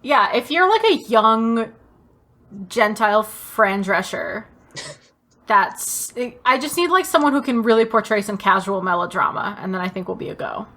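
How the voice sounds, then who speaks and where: A woman's voice: medium pitch, very animated, moderate pace, bright, forceful tone. A woman co-hosting a podcast in casual banter, playfully exaggerating as she talks. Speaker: podcast co-host. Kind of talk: casual banter between friends.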